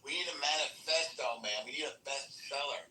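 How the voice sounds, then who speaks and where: A man's voice: mid-range voice, brisk, faint music behind. Emphatic man talking far from the mic in an echoey room. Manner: animated, emphatic, laughs often. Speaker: podcast host. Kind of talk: casual political chat.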